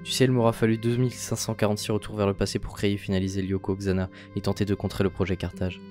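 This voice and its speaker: A gentle older scientist father, acted out by a man reading a visual novel. His low voice is soft and reflective, weary and paternal, over music. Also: level tone, very fast, close to an everyday voice. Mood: gentle, regretful.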